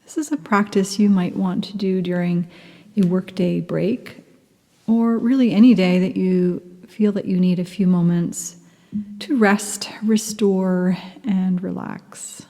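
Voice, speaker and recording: Woman meditation guide, very slow and mellow, soft half-whispers, long reverb around the voice. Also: on the low side, fairly even intonation. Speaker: yoga nidra teacher.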